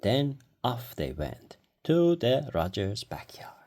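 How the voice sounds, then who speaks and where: A story reader giving a deliberate, expressive read-aloud of a children's storybook. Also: medium pitch.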